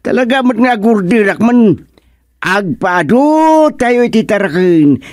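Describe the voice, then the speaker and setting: High-pitched, blustering older farm uncle acted by a man. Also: measured pace, dry recording, studio quality. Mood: excited. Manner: exclamatory, repeats words. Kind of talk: comedic radio drama acting.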